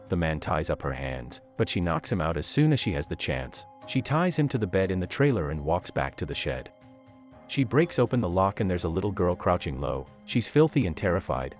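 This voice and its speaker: A man narrating a movie recap in a scripted, steady storytelling manner. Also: low-pitched.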